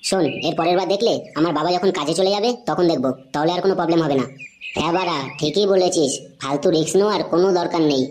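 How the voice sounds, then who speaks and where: A woman voicing a crude, excitable young male slacker in a comedy cartoon, with slangy banter. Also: lowish voice, racing, slight background noise, lightly acted. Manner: foul-mouthed, slangy banter.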